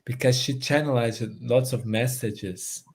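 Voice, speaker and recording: An earnest man from Brazil who grew up in Portugal, speaking English with a Portuguese accent. His voice sits at an ordinary middle pitch, and he often restarts phrases while he searches for the right words in a relaxed guest conversation. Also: unhurried, husky. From Brazil, raised in Portugal. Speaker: podcast guest. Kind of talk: casual conversation.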